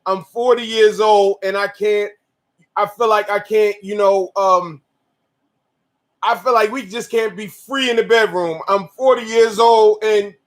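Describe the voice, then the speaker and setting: A man of forty co-hosting a candid chat with his wife, talking in animated, slangy, profane bursts that sometimes rise to shouts. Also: high-pitched. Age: 40s. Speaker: podcast co-host.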